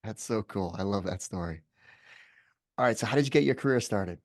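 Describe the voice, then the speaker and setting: A man's voice: lowish voice, lively intonation, brisk, dry recording, clean studio sound. A man hosting a podcast who asks questions and gives upbeat, encouraging replies. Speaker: podcast host. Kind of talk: interview questions.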